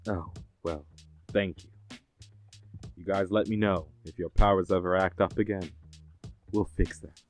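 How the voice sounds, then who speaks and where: A man playing a composed superhero agency official in an audio drama, speaking in a measured, formal and assured way. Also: low-pitched, steady tone, soft background music. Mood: composed, businesslike. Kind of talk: scripted audio drama acting.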